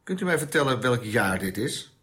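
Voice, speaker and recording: A man playing a sceptical headquarters commander in a radio drama, official, measured and probing. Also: mid-range voice, very animated, sharp tone. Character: formal, sceptical space headquarters commander questioning a crew.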